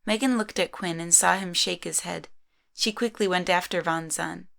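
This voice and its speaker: A woman's voice: on the low side, moderate pace, vocal fry, a bit bright. A woman narrating a scripted story in the third person.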